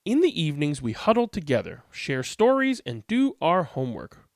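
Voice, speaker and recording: A man's voice: a little high, huge pitch swings, moderate pace, dry recording, clean studio sound. A man hosting a read-aloud, reading picture books expressively and commenting informally. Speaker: read-aloud host and storyteller.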